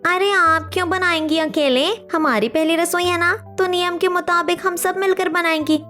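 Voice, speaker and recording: Extremely high, insistent young bride, played by a woman. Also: fairly even intonation, moderate pace, pushed and bright, soft background music. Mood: eager, protective. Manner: insistent, protective. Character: helpful, insistent young city daughter-in-law. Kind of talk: Hindi family cartoon story acting.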